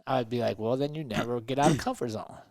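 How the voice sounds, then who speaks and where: A man's voice: mid-range voice, fast talker, darkish tone, dry recording. Guy on a motivational podcast, talks emphatic, keeps asking right?, really dry close sound. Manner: emphatic, rhetorical, checks in with "right?". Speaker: podcast co-host.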